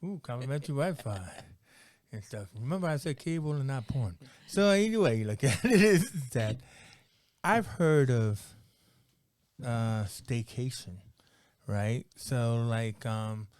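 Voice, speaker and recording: A man's voice: mid-range voice, expressive, a little slow, a little mellow, no room sound, clean studio sound. Rambling, jokey American man hosting. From United States. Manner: rambling and jokey, heavy on "like" and "you know". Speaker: podcast host. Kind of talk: casual interview chat.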